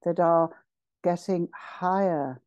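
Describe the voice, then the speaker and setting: A woman with a lowish, soft-toned voice leads a guided meditation at an extremely slow pace. She is solemn and ceremonial, setting out short phrases with pauses between them. The sound is close and dry. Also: no room sound, lo-fi sound. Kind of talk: slow guided meditation, channeled spiritual address.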